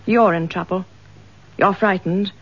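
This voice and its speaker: An actress playing a motherly, gently persistent English wife who coaxes, in a radio detective drama. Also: medium pitch, fairly even intonation, a little slow, breathy edge. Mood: soothing, coaxing. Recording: dull lo-fi radio drama recording.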